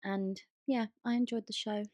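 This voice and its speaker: Female podcast co-host, English accent, mid-pitched, animated casual storyteller, dry recording. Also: normal speed, dry recording. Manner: animated, chatty storytelling with acted-out quotes. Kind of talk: casual chat.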